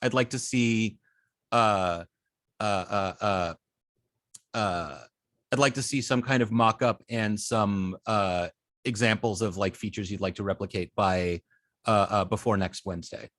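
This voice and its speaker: A man's voice: fairly low pitch, a bit bright, no echo. Hesitant man teaching, dry close sound. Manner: hesitant, halting, often repeats words. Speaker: web design class instructor. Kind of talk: informal online teaching and student check-ins.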